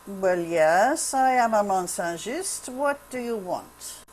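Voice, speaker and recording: A woman's voice: mid-range voice, lively intonation, takes it slowly, darkish tone. A woman reading the part of an anxious, loyal Frenchman in a radio drama. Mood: wary. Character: anxious, loyal young Frenchman, friend of the hero. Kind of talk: table read of a radio drama. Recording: faint noisy home virtual-call recording.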